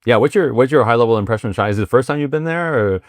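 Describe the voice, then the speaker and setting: A man hosting a podcast interview, asking questions in a halting way with many fillers and restarts. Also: medium pitch, lively intonation, no room sound. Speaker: podcast host. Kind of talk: casual interview with read ad intro.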